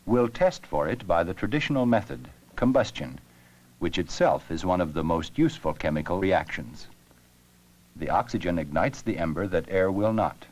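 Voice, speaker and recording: A man narrating an educational science film with scripted, measured, explanatory delivery. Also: low voice, expressive, darkish tone.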